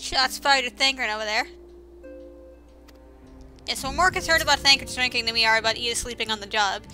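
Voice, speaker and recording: Female game commentator, high and piercingly bright, sarcastic and informal, casual delivery over game music. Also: fairly quick. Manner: sarcastic, exclamatory; informal, swearing. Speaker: gameplay commentator.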